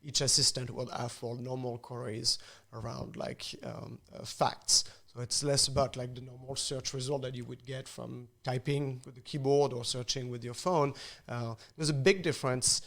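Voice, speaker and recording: Gravelly Frenchman in interview. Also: medium pitch, steady tone, moderate pace, few pauses, fairly bright tone. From France. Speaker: expert guest. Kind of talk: conversational interview answers.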